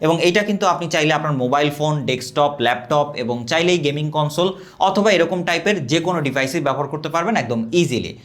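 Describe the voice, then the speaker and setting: A young man from Bangladesh giving an energetic, scripted product review and sales pitch. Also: fast talker.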